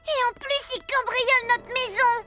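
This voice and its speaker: A woman's voice: very high-pitched, steady tone, moderate pace, over loud music. A woman voicing a small, dramatic young animal with a childlike, plaintive manner in a French cartoon. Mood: indignant. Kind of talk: French cartoon dub acting.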